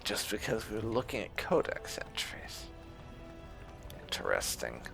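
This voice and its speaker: Deep, slow, gravelly male gamer. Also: wildly swooping pitch, music in the background. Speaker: gaming video host. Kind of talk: casual roleplay playthrough commentary.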